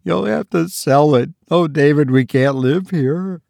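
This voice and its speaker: Tearful frightened mother, voiced by a man, raised pitch, sobbing, pleading, storybook. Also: a little slow, gravelly, a little mellow, dry recording, studio quality. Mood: sobbing plea.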